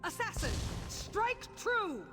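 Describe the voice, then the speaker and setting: A woman's voice: very high voice, very animated, slow pace, over music, heavily acted. An actress playing a fierce, proud commander of assassins in a fantasy video game, declaiming her lines with authority. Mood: fierce triumph.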